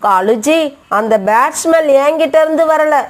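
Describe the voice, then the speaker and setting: A greedy female crow voiced by a woman, high in pitch and brazen in manner. She sounds like an insistent, scheming cartoon villain. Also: measured pace. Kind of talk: cartoon story dialogue.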